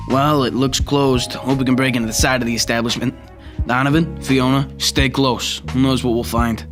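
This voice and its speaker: A man's voice: mid-range voice, flat delivery, normal speed, natural voice. A man playing a tough, protective private detective in an old-time radio drama, with terse hard-boiled delivery. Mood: wary. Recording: loud music and effects behind.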